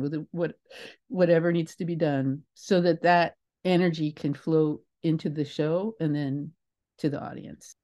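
A middle-aged woman answering interview questions as a theater director, in an expressive, emphatic and reflective way. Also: on the low side. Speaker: guest, theater director and creator.

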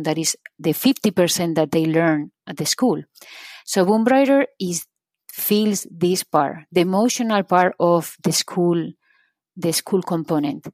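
A woman's voice: lowish voice, a little mellow, no room sound. A middle-aged woman from Spain with a Spanish accent, a company CEO answering interview questions earnestly and restarting phrases often.

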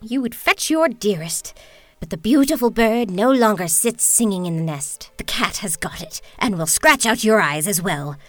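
A woman putting on a loud mocking witch. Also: medium pitch, expressive, normal speed, no echo, soft background music, lightly acted. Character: wicked scolding old female witch.

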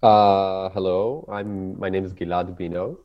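A man from Israel with an Israeli accent, English not his native tongue, talking casually as a guest and often pausing to search for words. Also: low voice, fairly even intonation, muffled recording. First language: Hebrew. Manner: halting, searching for words, many fillers and restarts. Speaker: ecologist guest. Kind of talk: casual interview talk.

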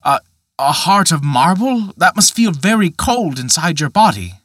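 A man's voice: big pitch swings, normal speed, a bit bright, no room sound, studio quality. A man voicing a frightened, pleading young man who stammers in a raised voice. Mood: stammering. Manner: high, anxious, stammering.

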